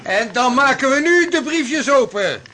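A man's voice: very high voice, animated, measured pace, sharp tone, heavily acted. An actor playing a hearty ship's leader who proclaims to his stranded crew in a jovial, ceremonial way, in a radio drama. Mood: jovial, ceremonious.

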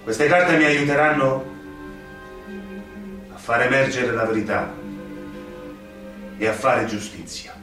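An actor playing an idealistic young male magistrate in Italian radio theatre, speaking in a solemn, deliberate way. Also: mid-range voice, fairly bright tone. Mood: solemn resolve. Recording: echoey stage sound, distant, music behind.

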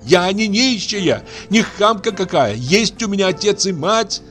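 A man voicing a furious young woman who shouts and sobs out bitter accusations. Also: sits high, lively intonation, unhurried, faint music behind, pitched above the performer's own voice. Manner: shrieking accusations. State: sobbing with rage.

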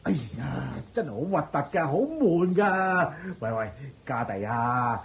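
An actor playing a squeamish, grumbling uncle in a radio drama, exclaiming and nagging in Hong Kong Cantonese. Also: male voice, mid-range voice, sing-song, a little slow, vocal fry, a little hiss or hum. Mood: grumbling, uneasy. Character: squeamish, grumbling uncle-like attendant, adult man. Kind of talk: Buddhist story radio drama acting.

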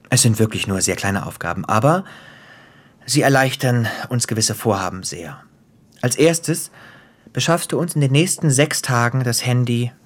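A man acting in a German radio play as a cruel, gleeful crime boss, theatrical and taunting, with a husky voice that drops into whispers. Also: medium pitch, natural voice. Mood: sly, commanding. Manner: theatrical, taunting, savoring storyteller.

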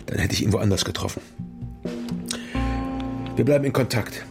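An actor playing a seasoned, weary middle-aged politician under pressure in a radio crime drama, terse, guarded and sardonic. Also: male voice, on the low side, moderate pace, gravelly, over music. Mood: businesslike.

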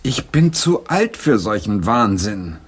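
An actor playing a weary, irritable middle-aged English playwright in a German radio play, grumbling and sarcastic. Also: male voice, lowish voice, big pitch swings, slow, slightly rough, exaggerated voice. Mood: weary, sardonic.

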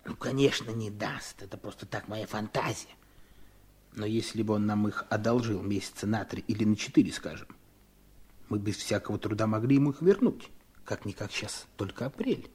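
A man's voice: lowish voice, moderate pace, darkish tone, slightly rough. An actor playing a scheming, coaxing middle-aged father in a 1970s Soviet radio drama. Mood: sly, persuasive. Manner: insinuating, coaxing.

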